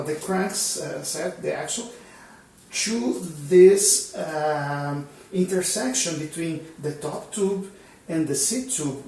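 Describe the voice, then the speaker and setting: A man's voice: high-pitched, darkish tone, a little hiss or hum. A man whose first language is Portuguese, hosting his channel and explaining bike frame measurement in a casual, hesitant way, with many fillers and restarts. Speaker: channel host. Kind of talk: casual instructional talk. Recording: roomy echo, mic at a distance.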